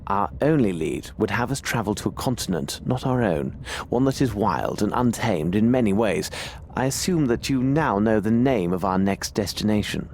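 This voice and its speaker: A man playing a shrewd, composed Victorian detective in a video game, speaking in formal, deliberate phrases. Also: fairly low pitch, lively intonation, a little mellow, a little hiss or hum. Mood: grave, resolute. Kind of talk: video game dramatic acting.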